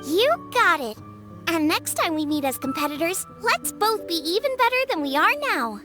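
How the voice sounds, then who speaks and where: A woman voicing a perky, earnest teenage girl chef in a video game, bubbly and expressive. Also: very high voice, normal speed, over music. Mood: earnest, warm.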